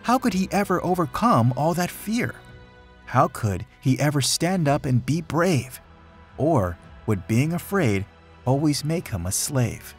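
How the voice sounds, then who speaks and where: A man reading a rhyming children's picture book aloud in an expressive, dramatic storytelling manner. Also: a little high, unhurried, soft background music. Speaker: storybook reader.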